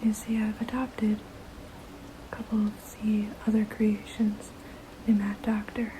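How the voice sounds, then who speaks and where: A woman voicing a gently protective, motherly vampire in a soft, breathy ASMR whisper, casual and soothing. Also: medium pitch, monotone, slight background noise, lightly acted, rough recording. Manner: hesitant, casual, soothing, unhurried.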